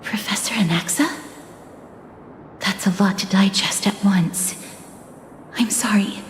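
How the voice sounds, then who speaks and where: Composed young heroine for a fantasy game played by a woman: reserved, polite, measured, mid-pitched, with long reverb. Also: steady tone, a little hiss or hum, close to an everyday voice. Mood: composed, subdued. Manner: measured, polite, formal phrasing. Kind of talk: video game dialogue. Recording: echoey reverb, faint music behind.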